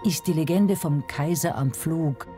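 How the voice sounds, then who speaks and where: Documentary narrator, medium-pitched, scripted delivery over a prominent music bed. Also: unhurried.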